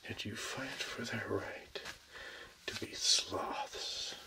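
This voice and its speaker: A man playing a cold, smug chancellor villain in a whispered ASMR role play, talking softly with menacing calm. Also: lowish voice, slow pace, exaggerated voice, rough recording. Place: dark room, masked in costume facing camera.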